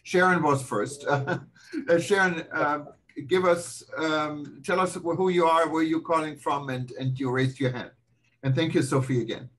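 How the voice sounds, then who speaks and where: Man hosting, halting with fillers. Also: a little high, fairly even intonation, fairly bright tone. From Germany. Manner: hesitant, many fillers. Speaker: host. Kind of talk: online panel moderation. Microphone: video call.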